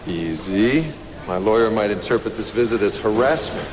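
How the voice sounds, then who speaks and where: A condescending male security boss as an actor plays him, low-voiced, self-important and brusque, with quiet menace under the words. The crime audio drama scene has clear ambient noise behind him. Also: animated, normal speed, close to an everyday voice.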